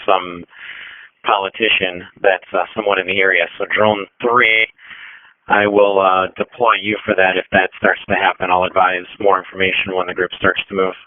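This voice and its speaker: Male radio coordinator, low monotone, procedural and halting, dry narrowband police transmission. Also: no room sound. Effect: police two-way radio. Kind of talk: radio dispatch traffic.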